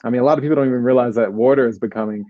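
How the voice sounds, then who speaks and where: A man's voice: mid-range voice, rapid-fire, no echo, muffled recording. A man hosting a podcast, chatting in an easygoing way and asking long, rambling questions. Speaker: podcast host. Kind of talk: casual podcast conversation.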